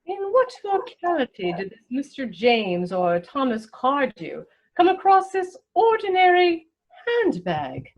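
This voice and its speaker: Haughty, slow-speaking aristocratic lady, acted by a woman. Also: high-pitched, huge pitch swings, muffled recording, heavily acted. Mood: scandalized, haughty. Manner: imperious, pompous, deliberate enunciation. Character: haughty, snobbish aristocratic older lady interrogating a suitor. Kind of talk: comic stage play reading.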